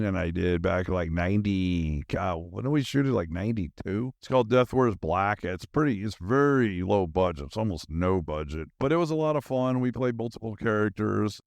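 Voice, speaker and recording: A middle-aged man with a low-pitched voice hosts a podcast in a rambling, personal style. His talk is informal and slangy, meandering from thought to thought. The sound is dry, with very little room to it. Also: creaky, darkish tone, dry recording. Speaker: podcast host. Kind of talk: rambling casual personal monologue.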